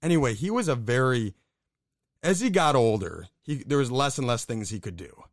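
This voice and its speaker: A man's voice: medium pitch, animated, normal speed, no echo, clean studio sound. A young man from the Buffalo, New York area, co-hosting a casual podcast and telling stories candidly, swearing now and then. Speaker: podcast co-host.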